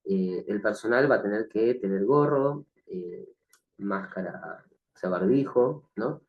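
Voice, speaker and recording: A man teaching a recorded nursing class, slightly hoarse from the flu, speaking hesitantly with many fillers and frequent check-ins with the listener. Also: fairly low pitch, expressive, measured pace, dull audio. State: has a cough from the flu. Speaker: nursing instructor. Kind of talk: recorded online lecture.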